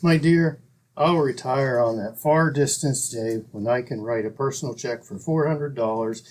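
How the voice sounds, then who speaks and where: An actor playing a dry-witted, tight-fisted town doctor in a 1940s-style radio comedy. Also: male voice, mid-range voice, moderate pace, darkish tone, close to an everyday voice. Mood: wry. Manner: pompous, sardonic.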